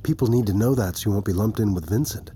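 An actor playing a concerned, probing male adviser in a naturalistic audio drama, halting, careful and understated. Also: lowish voice, warm tone, a little hiss or hum, close to an everyday voice. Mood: probing, protective.

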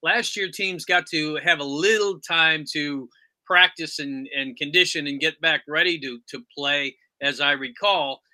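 Guy hosting a sports podcast, really bright forceful voice, clean and a bit high. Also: measured pace. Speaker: podcast host. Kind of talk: sports talk interview.

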